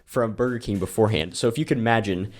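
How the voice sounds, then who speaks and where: A man's voice: lowish voice, fast talker. Young man joking in casual podcast chat. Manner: laughing, joking, animated storytelling. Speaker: podcast co-host. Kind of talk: casual chat among friends.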